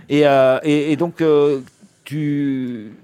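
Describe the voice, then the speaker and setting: A man hosting a live radio interview in a studio, joking and leading the talk with quick questions. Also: on the high side, steady tone, darkish tone, clean tone, no echo. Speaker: radio host.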